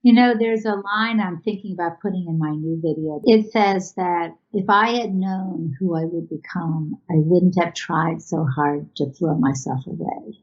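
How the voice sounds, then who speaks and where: Woman artist interviewed, lowish pitch, thoughtful and halting, muffled lo-fi video-call sound. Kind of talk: reflective interview answers.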